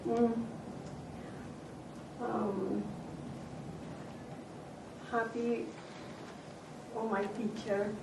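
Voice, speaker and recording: A shy woman, speaking very slowly in a high voice. She is heard from afar in an echoey chamber, with a noticeable hum behind her. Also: level tone, far from the mic. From El Salvador.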